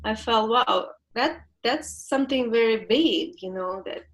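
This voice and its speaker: A woman's voice: fairly high pitch, a little slow, fairly bright tone. A woman in her forties from Ukraine with a Ukrainian accent, a relationship coach telling her story in an interview, laughing often and searching for words. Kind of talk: reflective interview talk.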